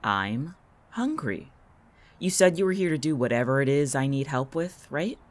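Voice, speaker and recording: A woman's voice: very deep voice, animated, normal speed. A woman in an audio drama playing a weary, bitter, defiant young man, curt and sardonic.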